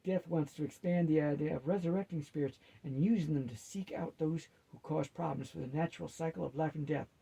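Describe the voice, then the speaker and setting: A firm, loving old grandmother as a man storyteller plays her, husky and mellow. She stresses her words with care, wise and a little teasing. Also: on the high side, exaggerated voice, poor audio. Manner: emphatic, firm, drawn-out delivery.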